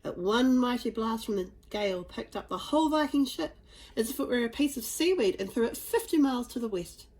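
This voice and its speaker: A woman reading a children's storybook aloud with expressive, dramatic emphasis. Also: on the high side, brisk. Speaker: storybook reader.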